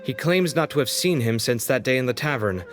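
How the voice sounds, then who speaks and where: A man's voice: mid-range voice, animated, fairly quick, soft background music, close to an everyday voice. A man voicing a candid young man in a video game, casual, emphatic and opinionated. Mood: explaining. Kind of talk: English video game dub acting.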